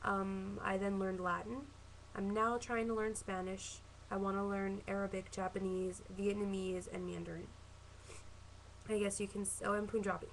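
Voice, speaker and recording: A young woman in her twenties with a Southern Californian accent talks to her camera at home. Her voice sits at an ordinary middle pitch, and she rambles earnestly, trips over her words and corrects herself. A faint hum of room noise runs underneath. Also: little pitch movement, normal speed, creaky, low-quality recording. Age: 20s. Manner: chatty and earnest, with frequent ums and self-corrections. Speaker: vlogger. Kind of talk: casual self-introduction to camera.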